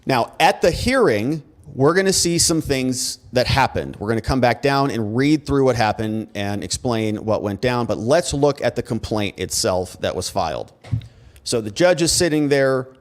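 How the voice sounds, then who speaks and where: A man's voice: mid-range voice, expressive, normal speed. Man, American accent, mid-range voice, legal commentator, emphatic, conversational, sarcastic asides. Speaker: host, criminal defense lawyer. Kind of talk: legal commentary, reading documents aloud with asides.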